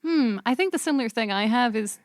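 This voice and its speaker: Woman, higher pitch, casual banter, dry recording. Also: expressive, fairly quick, no room sound. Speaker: podcast cast member.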